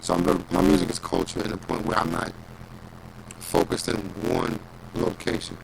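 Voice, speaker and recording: A man from New York with a raspy voice and a New York accent, a hip hop artist talking in a laid-back, confident way on a radio interview. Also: deep voice, monotone, moderate pace, slight background noise, poor audio. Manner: laid-back, confident, lots of "you know what I'm saying".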